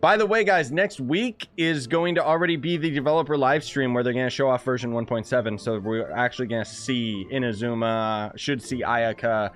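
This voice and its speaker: A man from Canada giving casual, excitable and sarcastic commentary while playing a video game. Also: medium pitch, brisk, hardly any pauses. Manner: excitable, sarcastic, talks to chat. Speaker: gaming video creator. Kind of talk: casual let's-play commentary.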